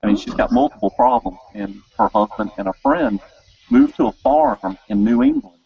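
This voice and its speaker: A man's voice: high voice, wildly swooping pitch, normal speed, gravelly, breathy edge, lo-fi sound. A man hosting a casual interview, talking informally and enthusiastically. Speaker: interview host. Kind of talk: casual chat.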